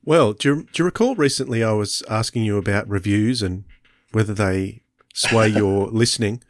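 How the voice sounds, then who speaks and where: A man's voice: on the low side, normal speed, no echo, clean studio sound. A man co-hosting a podcast, chatting casually and appreciatively about what he has heard, often repeating phrases as he feels his way along. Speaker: podcast co-host. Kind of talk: casual review chat.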